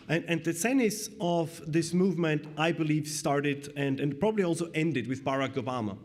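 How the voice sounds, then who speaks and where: A man with a cold speaks at a conference panel in a slightly higher voice. He keeps a wry, joking tone and hesitates here and there as he talks. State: has a cold. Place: conference hall.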